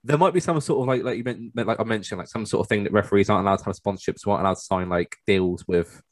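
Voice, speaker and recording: A man's voice: on the low side, rapid-fire, no echo. A man co-hosting a casual football game chat, stumbling over and repeating words as he goes. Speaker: co-host of a football game review.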